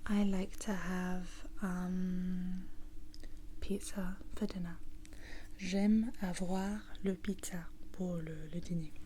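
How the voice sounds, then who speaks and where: Slow, whispering woman with English accent. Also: fairly low pitch, little pitch movement, a little hiss or hum. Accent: English-speaking. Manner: mostly whispering, gentle step-by-step explaining. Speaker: language teacher in an ASMR video. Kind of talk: whispered ASMR lesson.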